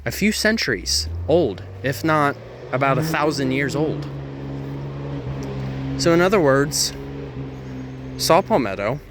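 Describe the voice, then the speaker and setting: A man's voice: mid-range voice, a bit bright, slight background noise. A man leading an outdoor nature walk, explaining plants in a chatty way and cracking puns at his own expense. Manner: chatty, self-amused with puns and asides. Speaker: nature guide. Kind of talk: outdoor educational walk-and-talk. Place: outdoors in a Florida hammock woodland.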